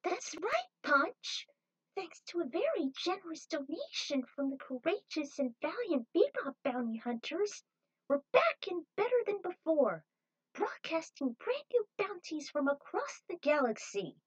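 A woman's voice: very high-pitched, very animated, unhurried, voice pushed up, full character voice, poor audio. A woman voicing a peppy female co-host of a cartoon TV bounty show. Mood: perky, excited. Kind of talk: cartoon TV presenter patter.

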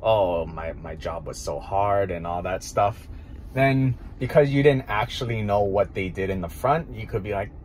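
A man's voice: on the low side, animated, moderate pace, a little mellow, a little hiss or hum. A man in his thirties, a restaurant owner, talking casually in a parked car at night into a phone camera, thinking aloud with many fillers. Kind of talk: casual monologue. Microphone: phone camera held close.

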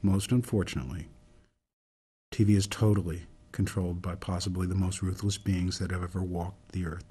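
An actor as a low, husky, solemn reformer. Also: male voice, steady tone, dark tone. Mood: grave, urgent. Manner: deliberate, solemn, speechifying. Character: earnest older male reformer laying out a grave case. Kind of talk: radio drama monologue, oratorical.

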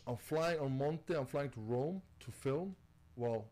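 A man with a mid-pitched voice chats casually into a headset. He swears loosely and talks about plans in a relaxed way. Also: normal speed. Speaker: streamer. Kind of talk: casual chat.

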